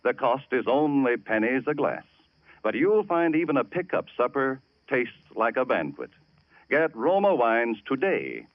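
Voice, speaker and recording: A man reading a sponsor's message as a 1940s radio announcer, with deliberate, emphatic salesmanship. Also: mid-range voice, dry recording. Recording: dull old radio recording.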